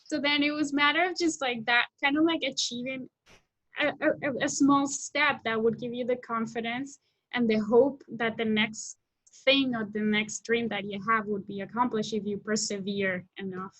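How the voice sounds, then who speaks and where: A woman in her thirties who grew up in Colombia talks over a laptop mic from a room at home. Her voice sits on the high side, and the sound is dry and close. She talks with eager energy and stops to restart her sentences often. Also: fairly even intonation, moderate pace, fairly bright tone, no echo, poor audio. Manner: enthusiastic, many restarts and fillers. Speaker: guest, technology scout. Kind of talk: video call interview. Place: home room with window, indoors. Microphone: laptop webcam mic on video call.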